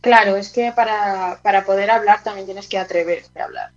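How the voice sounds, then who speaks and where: Interview guest, female, Castilian Spanish from Spain. Animated, informal delivery, mid-range voice, slightly dull lo-fi audio. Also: mid-range voice, moderate pace, faint music behind. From Teruel, Spain. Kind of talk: casual interview talk.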